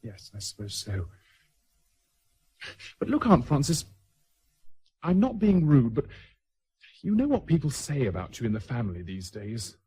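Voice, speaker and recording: An actor playing a candid, dutiful young aristocratic nephew with a British accent, polite and frank, in a period radio drama. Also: male voice, medium pitch, big pitch swings, measured pace, pauses often, dark tone, husky, exaggerated voice.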